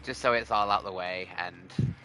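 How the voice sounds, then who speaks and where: A man's voice: sits low, steady tone, normal speed, sharp tone. A man chatting casually on a game livestream, informal and a little hesitant in his phrasing. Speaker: game streamer. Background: game music.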